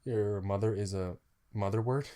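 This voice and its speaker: A man's voice: fairly low pitch, fairly even intonation, normal speed, warm tone, slight background noise. Rambling young man, casual podcast chat. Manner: rambling, many fillers and repeated words. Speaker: podcast co-host.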